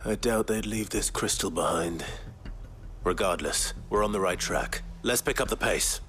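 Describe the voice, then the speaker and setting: An actor playing a stern, composed swordsman hero in a video game, speaking in a measured, dry, quietly threatening way. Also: lowish voice, a little hiss or hum, close to an everyday voice. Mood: focused. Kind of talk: video game cutscene acting.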